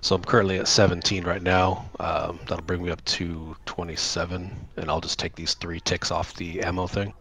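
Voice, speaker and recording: A man playing in a tabletop game who has a cold and sounds a bit raspy, giving brief, easygoing replies. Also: low-pitched, little pitch movement, moderate pace, few pauses, a bit bright, slight background noise. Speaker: player. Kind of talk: casual tabletop game session over voice chat.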